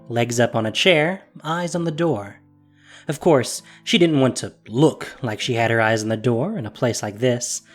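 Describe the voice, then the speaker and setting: An audio drama narrator tells a fantasy story with a lively, rising and falling delivery. The narration is scripted and expressive, with soft music underneath. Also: medium pitch.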